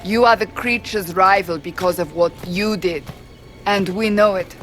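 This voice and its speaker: Earnest, steadfast woman warrior, played by an actress, mid-pitched and solemn, for a fantasy game. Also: unhurried, a bit bright, natural voice. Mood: solemn, resolute. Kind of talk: dramatic video game acting. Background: game music.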